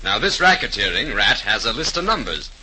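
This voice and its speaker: An actor playing a confident, no-nonsense police detective, brisk and assured, in 1930s radio drama style. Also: male voice, on the low side, very animated, sharp tone, exaggerated voice, low-quality recording. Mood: assured, explaining. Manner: brisk, assured, tough-talking explainer. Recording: hissy 1930s radio recording.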